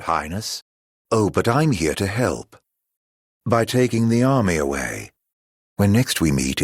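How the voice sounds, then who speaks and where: A sly video game villain who speaks in slow, florid drawls. His pitch swings dramatically from low to high, and every phrase is steeped in theatrical, teasing courtesy. The sound is dry and close. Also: husky, no echo, exaggerated voice. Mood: smugly reassuring.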